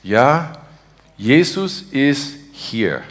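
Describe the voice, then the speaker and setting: A man from Texas with an American accent, a native English speaker, preaching and praying earnestly in halting, broken beginner's speech as he searches for words. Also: mid-range voice, sing-song. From Texas, United States. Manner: earnest, devout. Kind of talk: informal sermon and spontaneous prayer.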